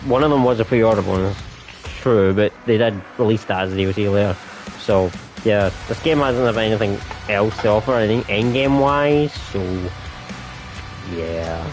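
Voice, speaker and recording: A man's voice: on the low side, normal speed, over music, rough recording. A man giving a casual, rambling review of a game with friends, laughing often and restarting his sentences.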